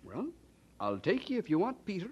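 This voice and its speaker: High-pitched, lively, encouraging older cousin. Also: male voice, slightly rough, muffled recording, heavily acted. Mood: reassuring. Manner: encouraging, gently probing, thoughtful pauses. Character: kindly, persuasive older male cousin giving advice. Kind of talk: mid-century radio drama acting.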